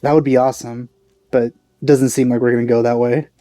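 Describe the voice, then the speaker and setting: Mellow-voiced man rambling over a game. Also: medium pitch, little pitch movement, fairly quick, no echo, clean studio sound. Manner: musing, rambling asides. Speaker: let's play host. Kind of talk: casual game commentary. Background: faint game music.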